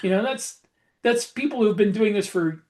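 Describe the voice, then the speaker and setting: A man's voice: high voice, moderate pace, over a noisy crowd. A man chatting casually as the co-host of a podcast.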